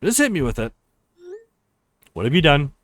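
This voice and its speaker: A man's voice: fairly high pitch, very animated, normal speed, a bit bright, no echo, clean studio sound. A man voicing a wry, long-suffering visual novel protagonist in a sarcastic manner. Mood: wary, sarcastic.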